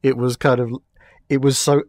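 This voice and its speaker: A man's voice: mid-range voice, level tone, normal speed, a little mellow, no echo. A man in casual, discursive chat, talking with wry amusement. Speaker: podcast guest answering fan questions.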